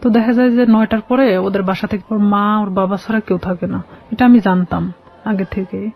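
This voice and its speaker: A Bangladeshi woman tells a personal story in a radio interview. The sound is slightly dull and lo-fi. She explains haltingly, circling back over her phrases. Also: medium pitch. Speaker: radio show guest.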